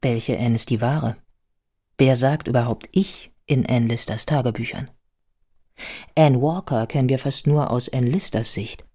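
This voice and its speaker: A woman's voice: extremely low pitch, measured pace, a little mellow. An actress playing a reflective female biographer who narrates and questions her own work in a radio drama. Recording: music under the voice. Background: music.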